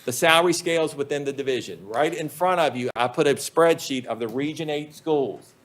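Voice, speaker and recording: A man, a school superintendent, presenting budget figures to a county board in a plain, measured way with frequent ums. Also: on the high side, fairly bright tone. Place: public meeting room.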